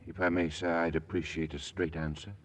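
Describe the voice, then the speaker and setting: A radio-drama manservant with a deep voice. He is deferential and careful, phrasing things formally with polite hesitations, as though always guarding himself. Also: flat delivery, a little fast. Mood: formal, probing. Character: deferential, guarded male household servant.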